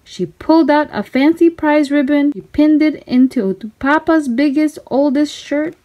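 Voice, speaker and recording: A woman narrating a children's storybook aloud in an expressive, deliberate way. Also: high-pitched.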